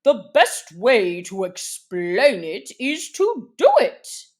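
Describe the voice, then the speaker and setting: A woman performs a grave storybook dodo, full of self-importance. The words come slowly and emphatically, swinging up and down as if each one were an official proclamation. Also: medium pitch, sing-song. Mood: pompous, explaining. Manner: solemn, declamatory, drawn-out emphatic delivery. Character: grave, pompous dodo presiding over a race.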